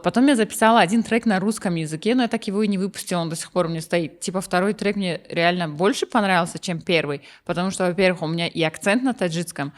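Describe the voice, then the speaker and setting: Outspoken young woman chatting in an interview. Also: on the low side. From Tajikistan. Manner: switches between languages mid-sentence, outspoken. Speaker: guest. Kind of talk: casual interview chat.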